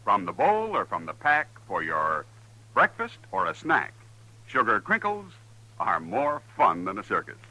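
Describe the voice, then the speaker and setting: A man's voice: fairly low pitch, huge pitch swings, measured pace, pushed and bright, poor audio. An American man announcing in a polished, salesmanlike 1950s radio style. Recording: vintage 1950s radio broadcast with music. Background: music.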